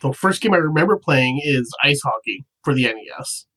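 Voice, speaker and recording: A man's voice: mid-range voice, expressive, brisk, a bit bright, rough recording. A man in his thirties from Canada, a guest on a podcast, chatting in an easygoing way. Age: 30s. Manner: easygoing, chatty, laughs often. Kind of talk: casual podcast chat.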